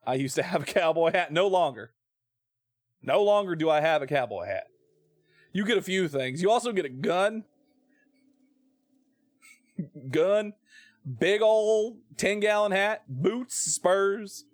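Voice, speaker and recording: Male streamer, American, fairly high voice, playful folksy drawl in asides, casual gameplay chat, dry close sound. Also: lively intonation, slow, long pauses, no room sound. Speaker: game streamer. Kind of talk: casual joking live gameplay commentary, reading game text aloud.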